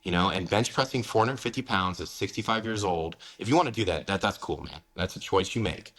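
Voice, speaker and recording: A man in his forties from the United States speaks in a deep, low register and at a quick clip. He is frank and casual as he talks through a long-form interview, leaning on "you know" and "man". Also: a bit bright. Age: 40s. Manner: blunt, candid, peppered with "man" and "you know". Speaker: guest, fitness coach.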